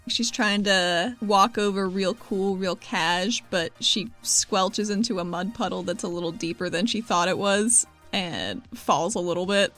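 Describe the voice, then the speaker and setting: A woman describes her character's actions in a tabletop game, improvising casually. She sounds playful and informal, in a mid-pitched voice with quiet music underneath. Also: a little slow, few pauses. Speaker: player in a tabletop role-playing game. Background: background music.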